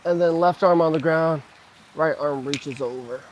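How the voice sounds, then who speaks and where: A man leading a guided mobility flow, calmly cueing each move and breath, his voice slightly hoarse as he recovers from a cough. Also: a little high, moderate pace, a little hiss or hum, low-quality recording. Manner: calm, gentle cueing of moves and breaths. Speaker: mobility and stretching instructor.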